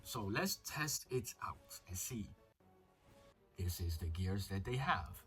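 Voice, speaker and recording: A young man talks his way through a mobile fighting game, chatty and a little crude as he thinks aloud. His voice sits slightly low and carries a touch of flu. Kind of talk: casual gameplay commentary.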